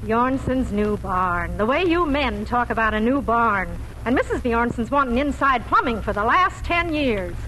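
A woman's voice: a little high, animated, normal speed, few pauses, bright, forceful tone. Plain-spoken, practical farm mother. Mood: wry. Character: practical, caring, world-weary farm wife and mother. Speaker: radio drama actor. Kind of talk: 1940s radio acting. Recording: faintly noisy old 1940s radio broadcast.

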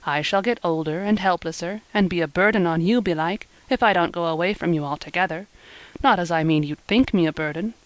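A woman voicing a kindly, careworn old male weaver who speaks slowly in rural dialect. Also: lowish voice, dry recording, lightly acted, poor audio. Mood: gentle. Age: older. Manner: slow and measured, rural dialect speech.

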